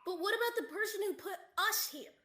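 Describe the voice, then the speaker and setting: A woman's voice: very high voice, lively intonation, fairly quick, pushed and bright, heavily acted. A teenage woman acting an anxious, sceptical survivor in a radio theatre play. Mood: exasperated. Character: anxious, sceptical trapped survivor, quick to blame. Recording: echoey room, faint noise.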